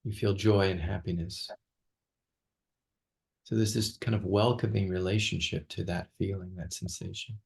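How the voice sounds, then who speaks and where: A man leading an unscripted guided meditation as a teacher, speaking haltingly with long pauses and repeated words. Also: deep voice, fairly even intonation, creaky.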